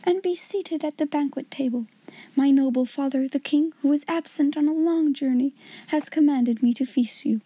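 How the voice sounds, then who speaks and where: An imaginative young girl, voiced by a woman reader, talks in a high, mellow voice. Her manner is dreamy and grandly polite, like a storybook child playing princess. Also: normal speed, dry recording, lightly acted.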